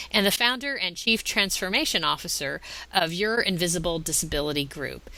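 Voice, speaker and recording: The forceful, lowish-voiced woman you'd hear hosting a chatty guest podcast, full of exclamations and chuckles. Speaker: podcast host and interviewer. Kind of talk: conversational interview.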